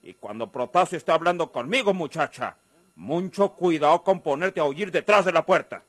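An actor playing a blunt, menacing crime boss with a rural Mexican accent in a radio drama. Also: male voice, on the high side, lively intonation, moderate pace, a bit bright, no room sound. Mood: stern, irritated.

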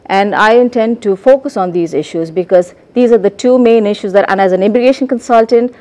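A middle-aged woman from India, a political candidate answering in a measured way, with fillers and repeats, in a studio debate. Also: mid-range voice, dark tone. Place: television studio.

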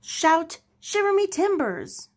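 A woman voicing a gruff, bossy male pirate rabbit captain from a storybook, with blustering commands. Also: very high voice, big pitch swings, slow, voice pushed up, exaggerated voice. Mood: commanding. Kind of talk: storybook character voice.

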